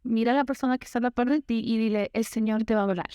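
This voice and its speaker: A woman's voice: on the high side, fairly even intonation, rapid-fire, dark tone, smooth, dry recording, studio quality. A young woman from Guatemala, preaching a sermon and telling her own story, slipping in English words. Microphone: handheld or headset mic, unfamiliar to her.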